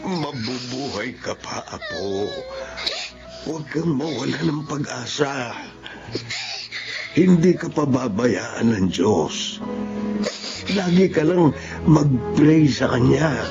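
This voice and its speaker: An actor playing a gentle elderly grandfather who speaks slowly and soothingly to his grandchild in a radio drama. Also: mid-range voice, wildly swooping pitch, vocal fry, lo-fi sound, over music, heavily acted. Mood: comforting. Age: older. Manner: slow, soothing, tender.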